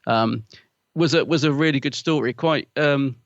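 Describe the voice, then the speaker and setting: A man's voice: mid-range voice, normal speed, no room sound. Chatty man, dull dry sound. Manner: chatty, laughs often, rambling with fillers. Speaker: podcast co-host. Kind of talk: casual chat.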